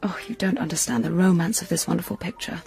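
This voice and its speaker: A woman's voice: on the low side, fast talker, creaky, a little mellow. An actress playing a wistful, romantic young woman in a radio drama, with a dreamy, dramatic storytelling manner. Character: romantic, wistful young woman, stage performer with smuggler brother. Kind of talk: intimate radio drama acting. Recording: radio drama with music underneath.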